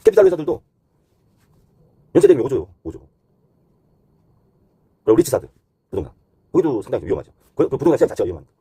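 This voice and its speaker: A man's voice: medium pitch, huge pitch swings, fast talker, vocal fry, long pauses, warm tone, husky, no room sound. A man giving unscripted explanatory commentary as a host, talking conversationally point by point and sliding between polite and blunt, casual phrasing.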